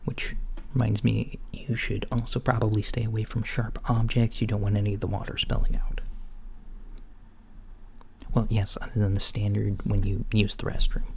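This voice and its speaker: A soft-spoken man playing a mild, curious alien doctor in an ASMR roleplay, hesitant and politely clinical, often dropping toward a whisper. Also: fairly low pitch, vocal fry. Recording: lo-fi with faint background noise.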